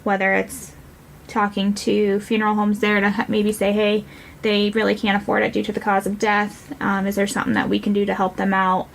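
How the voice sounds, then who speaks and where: A woman's voice: medium pitch, monotone, brisk, gravelly, slight background noise, poor audio. A woman with an American accent, explaining things conversationally as an interview guest. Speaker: nonprofit representative and guest.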